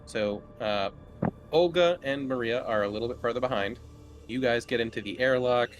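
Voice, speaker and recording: A man's voice: medium pitch, normal speed, noisy background. A man running a tabletop horror game as game master, casually improvising scene descriptions in a conversational way.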